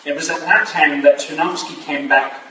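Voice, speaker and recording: A man playing an eager young Victorian gentleman in a staged mystery play reading, recorded in an echoey, noisy hall. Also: a little high, fairly even intonation, normal speed, far from the mic, lightly acted. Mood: thoughtful.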